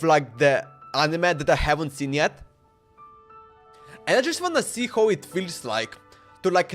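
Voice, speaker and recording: Give me a young guy streamer with a high voice, rambling in slang and stammering over words, faint music behind. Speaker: livestreamer playing a game. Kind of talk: casual livestream chat.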